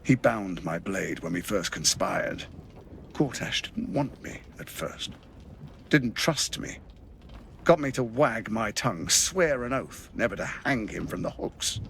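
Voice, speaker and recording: A performer voicing a sadistic cult assassin in a deep, warped, sneering tone. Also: lively intonation, a little slow, gravelly, slight background noise. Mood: venomous. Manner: sneering, venomous, gloating. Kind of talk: video game cutscene acting.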